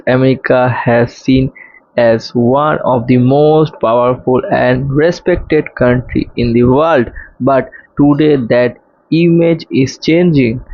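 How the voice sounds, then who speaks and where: Slow-paced man narrating, dull dry recording. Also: mid-range voice, no echo. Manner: halting, deliberate phrasing. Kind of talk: scripted commentary narration.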